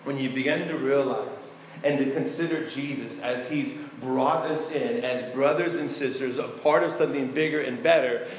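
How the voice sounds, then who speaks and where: A man's voice: medium pitch, slight background noise. A male pastor preaching a sermon in a conversational way, with asides and restarts. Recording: echoey hall, faint ambience.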